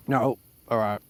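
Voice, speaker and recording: A man's voice: mid-range voice, no room sound. A man playing a chatty, apologetic Old West townsman with a put-on western accent, coughing and getting sick, who keeps calling people partner. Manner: rambling, calls people partner. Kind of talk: roleplay in a western game.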